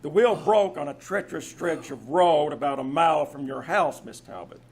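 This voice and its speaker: Guy as husky police inspector in old radio mystery, slightly high voice, formal and measured. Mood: businesslike. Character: polite, methodical male police inspector, confidently accusing. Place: live on a theater stage.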